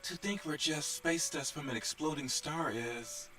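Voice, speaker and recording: A man voicing a curious space explorer in scripted dialogue for an animated story. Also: fairly high pitch, a little slow. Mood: wondering. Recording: loud music under the voice.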